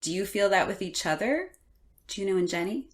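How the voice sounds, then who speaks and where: A woman's voice: medium pitch, expressive, a little fast. A woman, speaking casually as the co-host of an interview podcast.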